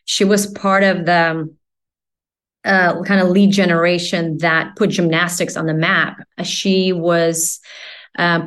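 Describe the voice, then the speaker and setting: A woman in her forties from Latvia, a native Russian speaker, chatting as a podcast guest in a rambling way, with lots of fillers and restarts. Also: fairly low pitch. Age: 40s. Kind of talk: casual interview chat.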